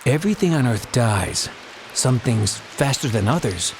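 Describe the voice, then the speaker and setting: A man's voice: medium pitch, big pitch swings, a little slow, vocal fry, noisy background. A man playing a bewildered, brooding man musing to himself in a horror audio drama. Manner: questioning, musing.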